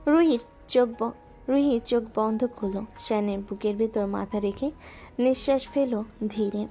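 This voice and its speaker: A woman narrating a romantic audio story in a gentle, measured way, with soft music underneath. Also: a little high.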